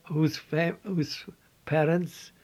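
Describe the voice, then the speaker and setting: An older man from Switzerland with a Swiss German accent, telling his life story in halting English with repeated words and restarts. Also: a little high, fairly even intonation, a little mellow. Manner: halting, repeats words, non-native phrasing. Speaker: interviewee.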